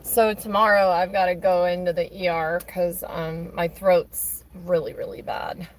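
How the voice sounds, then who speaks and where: Hoarse woman chatting on a stream. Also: fairly low pitch, flat delivery, a little slow. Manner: rambling, full of fillers and restarts. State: hoarse, raspy throat. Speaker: live-stream host. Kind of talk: casual chat on a phone call during a stream.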